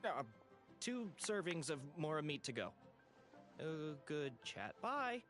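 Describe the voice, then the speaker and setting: Bashful, smitten young diner. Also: male voice, fairly high pitch, very animated, slow, fairly bright tone, soft background music, exaggerated voice. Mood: awkward. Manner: awkward, hesitant. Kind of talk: anime game voice acting.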